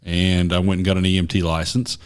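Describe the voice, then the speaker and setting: Low-voiced guy from oklahoma, ex-cop, rambles with lots of uh's and restarts, podcast guest in a small office. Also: little pitch movement, clean tone. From Oklahoma, USA. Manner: rambling, halting, with many fillers and restarts. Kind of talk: casual interview storytelling.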